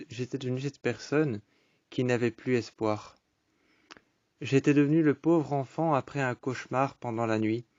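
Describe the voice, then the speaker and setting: A man's voice: mid-range voice, a little mellow, no echo, highs cut off. Man reciting solemn poetry, close and dry. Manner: repetitive incantatory phrasing, solemn and melancholic. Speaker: poetry storyteller. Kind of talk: dramatic spoken-word poetry recitation.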